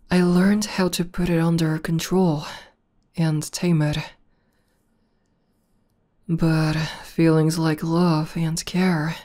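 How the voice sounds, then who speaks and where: Tomboy demon girlfriend for ASMR roleplay, female performer: low, even, soft and hesitant, shy but devoted. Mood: shy, loving. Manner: soft, hesitant, bashful, breathy dips into whisper.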